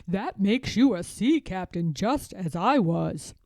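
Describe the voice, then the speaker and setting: A woman voicing a kindly old grandfather bear from a storybook, a retired sea captain who speaks with reassuring, drawn-out emphasis. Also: medium pitch, expressive, a little mellow, no room sound, clean studio sound. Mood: proud, fond.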